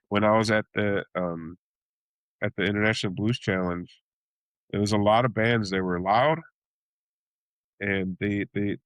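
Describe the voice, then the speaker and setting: A man from Chicago, a blues singer and bandleader, talking casually in an interview, rambling with many restarts and acting out reactions in sudden high exclamations. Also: fairly bright tone, no room sound, low-quality recording.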